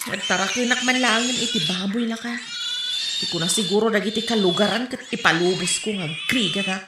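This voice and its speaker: A woman with an Ilocano accent playing an aggrieved, quick-tempered young villager in a comedy audio drama. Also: medium pitch, very noisy, natural voice. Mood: defensive. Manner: emphatic, complaining. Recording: roomy echo.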